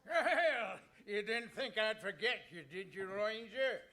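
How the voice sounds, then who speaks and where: An actor playing a menacing, blustering neighbor villain in an old time radio comedy. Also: male voice, sits high, animated, slow pace, pushed and bright, exaggerated voice. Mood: threatening.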